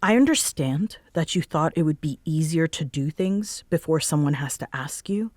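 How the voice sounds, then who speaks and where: A woman playing a prickly, guarded young roommate in an intimate roleplay audio drama, curt, halting and defensive in manner. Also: deep voice, expressive, darkish tone, dry recording, clean studio sound. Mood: stern, guarded.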